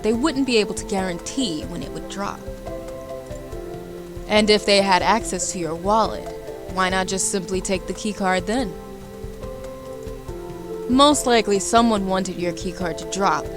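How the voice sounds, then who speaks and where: Chatty woman streamer with music behind. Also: mid-range voice. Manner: chatty, informal, playful. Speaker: game streamer. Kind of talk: casual livestream chat while reading a visual novel aloud. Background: background music.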